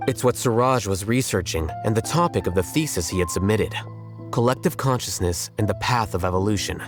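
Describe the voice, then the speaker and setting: An actor playing a composed, blunt, intellectual scholar in an anime game, explaining in a measured, matter-of-fact way. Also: male voice, on the low side, expressive, faint music behind, lightly acted. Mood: calm explaining.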